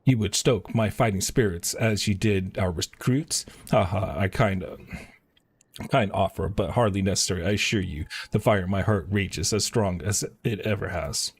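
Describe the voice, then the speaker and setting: A man's voice: sits low, normal speed, slight background noise. A man casually commentating on a game live stream and reading dialogue aloud in an offhand, slangy way.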